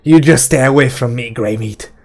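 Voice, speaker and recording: Man putting on a shrill, mean, taunting woman. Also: takes it slowly, lightly acted. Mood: defiant, nervous. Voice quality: hoarse, rasping. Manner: shrill, heavy dialect, mocking.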